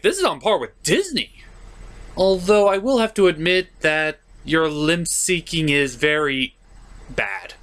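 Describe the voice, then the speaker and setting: A man's voice: on the high side, fairly bright tone. A man narrating a comedic film review in a sarcastic, exasperated manner.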